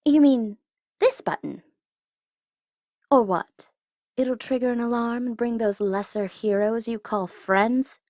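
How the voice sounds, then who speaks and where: A woman's voice: a little high, slow pace, pauses often, a little mellow, no echo, studio quality. A woman playing a smug tsundere supervillain in an ASMR audio roleplay, taunting and teasing theatrically, at times in a whisper. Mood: gloating, mocking. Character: smug tsundere female supervillain gloating over her captive hero.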